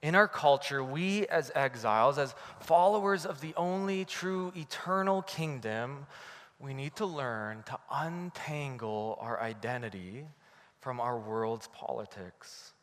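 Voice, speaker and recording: A Canadian man preaching a sermon from the pulpit, telling a story in a lively, animated way. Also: medium pitch, measured pace, long reverb tail. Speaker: pastor.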